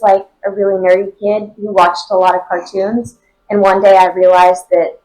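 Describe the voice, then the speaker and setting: A young woman with a high, nasal voice answers questions at a convention. She talks in a loose, chatty way, full of ums and likes. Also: poor audio. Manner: chatty, many fillers. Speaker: voice actor guest. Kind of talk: casual convention interview.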